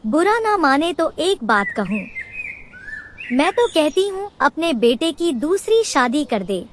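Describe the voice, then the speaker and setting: A woman's voice: sits high, very animated, a little slow, background noise. A woman voicing a meddling, scheming female neighbour bird in a cartoon, with a gossipy, insinuating manner. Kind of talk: Hindi cartoon character acting.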